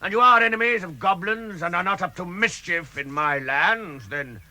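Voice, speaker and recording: An actor playing a huge, gruff, suspicious man in a 1960s radio drama, blunt and boisterous. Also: high-pitched, moderate pace, bright, forceful tone, no room sound. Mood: suspicious, impatient. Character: huge gruff suspicious skin-changer man with booming laugh. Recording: vintage radio production with music.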